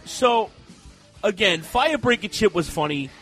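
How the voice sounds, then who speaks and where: A man co-hosting a casual wrestling podcast, talking in a rambling, animated way with many restarts. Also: high voice, a little slow, faint music behind. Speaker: podcast co-host.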